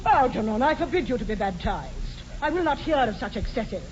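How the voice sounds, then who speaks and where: Swooping, high-voiced imperious English dowager. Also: female voice, big pitch swings, a little fast, darkish tone. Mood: haughty, indignant. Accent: upper-class English. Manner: pompous, emphatic, grandly disapproving. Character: imperious aristocratic old dowager aunt, haughty and domineering. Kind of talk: 1940s radio comedy acting. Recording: dull, noisy 1940s radio broadcast.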